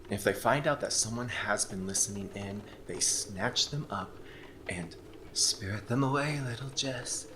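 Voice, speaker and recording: A man's voice: fairly low pitch, measured pace, background noise, lightly acted. A man playing an anxious, irritable young woman in a horror audio drama, sarcastic and defensive, with an intense way of telling a tale. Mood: hushed, grave storytelling.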